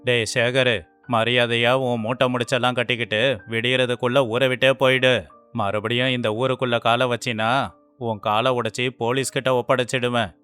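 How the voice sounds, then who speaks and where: Man playing a stern village council chief, clear-voiced. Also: medium pitch, flat delivery, normal speed, pushed and bright, soft background music, lightly acted. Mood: stern, threatening. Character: stern, commanding male village council head. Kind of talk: moral story character acting.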